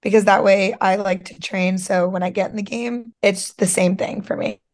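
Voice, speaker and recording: Slightly hoarse young woman, chatty. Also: mid-range voice, fairly even intonation, moderate pace, darkish tone. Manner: chatty, lots of "like" and "you know" fillers. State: slightly hoarse, losing her voice. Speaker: guest, professional softball player. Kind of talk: casual interview chat.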